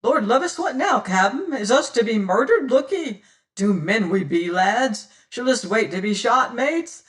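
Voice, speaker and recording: A reader voicing frightened rough male pirates who clamor for orders. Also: medium pitch, animated, slow pace, fairly bright tone, far from the mic, pitched above the performer's own voice. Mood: panicky. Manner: panicky, clamoring.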